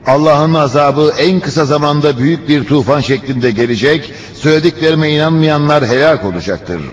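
A man's voice: on the high side, moderate pace, natural voice. A man narrating a religious cartoon, relaying a prophet's words in a solemn, declamatory, preaching manner. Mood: stern, warning. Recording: muffled cartoon soundtrack with music.

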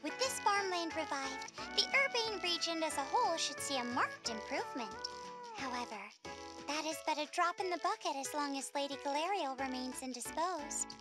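A woman's voice: high-pitched, animated, moderate pace, pitched above the performer's own voice. A woman voicing a wise, dignified female fairy lord who speaks formally, over game music. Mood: solemn. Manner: formal, stately speech.

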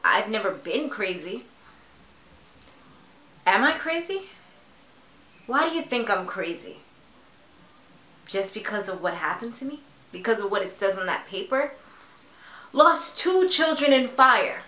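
A woman playing a grieving, defensive mother in a halting, emotional dramatic monologue addressed to a doctor. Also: medium pitch, very animated, pushed and bright. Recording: echoey room.

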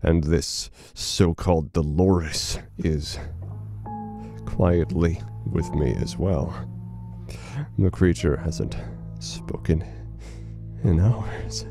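A man playing a formal but fragile, unhinged broadcaster in an audio horror drama, speaking haltingly and theatrically. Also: low-pitched, music in the background, full character voice. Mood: grave, formal. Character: unhinged male broadcaster, grave, formal, fragile, devoted to his council.

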